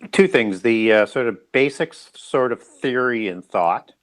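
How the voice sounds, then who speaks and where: A man speaking as an interview guest, explaining ideas in a halting, pieced-together way. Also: mid-range voice, animated.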